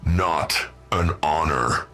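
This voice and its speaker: A man's voice: extremely low pitch, drawn-out words, sharp tone, faint music behind, full character voice. A man voicing an anguished, defiant armored war-machine warrior in an audiobook, with a distorted growl and a pleading, straining delivery. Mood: bitter anguish.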